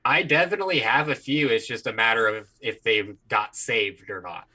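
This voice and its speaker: An American man with a bright, punchy voice at a medium pitch. He talks as a podcast co-host, casual and blunt, telling crude, joking stories. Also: animated, normal speed. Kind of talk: casual chat, profane storytelling.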